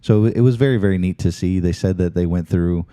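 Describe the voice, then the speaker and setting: A man's voice: low-pitched, a little fast, dark tone, dry recording, studio quality. A man hosting a talk show, chatting casually with his guest in an interview.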